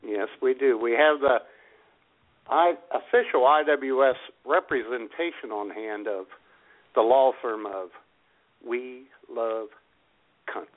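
A man's voice: mid-range voice, takes it slowly, pauses often. A man co-hosting a radio comedy show, trading dry, casual wisecracks. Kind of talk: casual comic banter. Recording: tinny phone-like radio audio.

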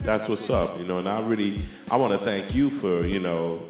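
A man with a Trinidadian accent hosts an internet radio show, talking in relaxed slang and drawing out his sign-offs in a very low voice. The sound is tinny like a phone line and echoey, with music behind. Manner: laid-back slang, drawn-out sign-offs. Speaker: show host. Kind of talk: casual radio sign-off chat.

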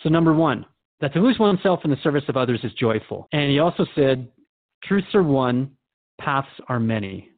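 A man's voice: mid-range voice, expressive, moderate pace, phone-quality sound. A man playing a curious, respectful radio host in a radio drama, interviewing in an inquisitive, conversational way. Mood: curious, earnest.

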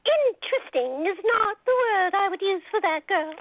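Very high, gushing society mother. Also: expressive, no echo, narrow, tinny audio. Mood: gushing, delighted. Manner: gushing, exclaiming, drawn-out words. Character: fussy, doting society mother, chatty and theatrical, older. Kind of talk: radio play acting.

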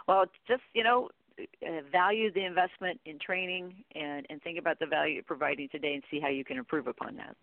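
A woman's voice: low voice, a little fast, no echo. A middle-aged woman giving conversational advice as an expert guest in an interview. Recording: dry, thin phone line.

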